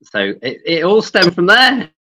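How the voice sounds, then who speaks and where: A man from England, a podcast guest, talking in a lively, upbeat way and chuckling now and then. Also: high-pitched, measured pace. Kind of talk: podcast interview.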